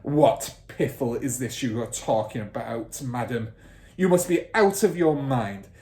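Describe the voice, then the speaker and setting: A man reads the part of a fierce middle-aged headmistress from a children's storybook. She barks her words loudly and scornfully, bullying whoever listens, with big swoops in pitch. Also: animated, measured pace. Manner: barking, shouting, snorting and scornful. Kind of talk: children's storybook character reading.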